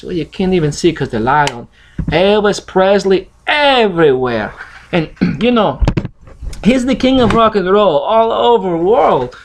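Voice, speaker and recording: Russian-accented man reading slowly, with swooping intonation. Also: sits high, slight background noise, rough recording. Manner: non-native grammar, halting, playful delivery. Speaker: host reading a picture book aloud. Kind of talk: storybook reading and casual chat to camera.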